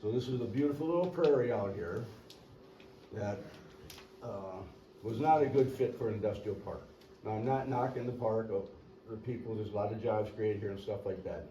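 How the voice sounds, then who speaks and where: An older man, a local resident, telling a rambling, folksy history at a public meeting and clearing his throat now and then. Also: lowish voice, moderate pace, dark tone, muffled recording. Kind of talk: storytelling public comment. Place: community meeting room. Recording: echoey meeting room, distant mic, faint hiss.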